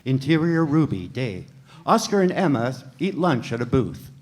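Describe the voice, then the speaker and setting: A man reading script stage directions aloud in a plain, measured way. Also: medium pitch, big pitch swings.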